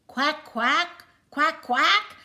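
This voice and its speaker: A woman voicing a bossy, proud mother duck and her ducklings in a storybook, with loud, emphatic calls. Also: sits high, lively intonation, very slow, pitched above the performer's own voice, exaggerated voice. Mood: loud, alarmed. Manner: loud, emphatic quacking and calling. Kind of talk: storybook read-aloud character voice.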